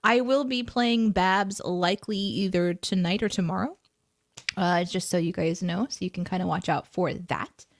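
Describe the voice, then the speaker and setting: A woman's voice: on the low side, normal speed, no echo, studio quality. A woman co-hosting a live morning stream, telling a story in casual chat and laughing easily.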